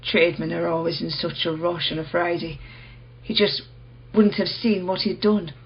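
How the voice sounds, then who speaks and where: Actress as a knowing, soothing low-voiced woman guide. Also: level tone, moderate pace, muffled recording, natural voice. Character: calm blind woman guiding a dying soul. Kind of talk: radio play acting.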